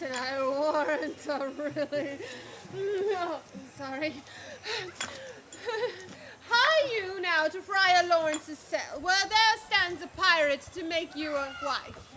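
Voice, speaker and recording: A woman's voice: extremely high pitch, noisy background, heavily acted. A woman playing a fussy, breathless older nurse in a comic stage play, drawing out her words and repeating herself. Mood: chattering. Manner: drawn-out, dithering, repeats herself.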